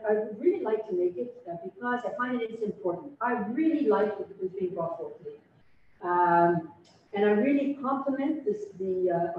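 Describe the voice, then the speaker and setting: A woman chairing a council meeting, giving semi-formal remarks in a deliberate, halting, reflective way through a distant conference microphone. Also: mid-range voice. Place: large indoor council meeting room. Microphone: distant conference camera microphone on video call. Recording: distant, echoey room, dull sound, faint noise.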